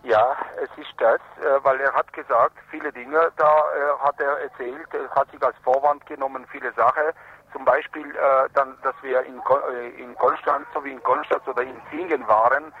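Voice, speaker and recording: Halting man on a muffled phone line. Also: medium pitch, steady tone, breathy edge. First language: not German. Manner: halting, searching for words, broken grammar. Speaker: activist spokesman for Roma protesters, radio phone-in guest. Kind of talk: live phone interview, spontaneous report and appeal.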